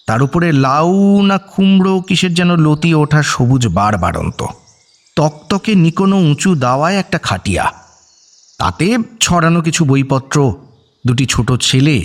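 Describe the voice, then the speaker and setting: A man narrating an audio story in a dramatic, scripted style. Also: fairly high pitch. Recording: music bed under narration.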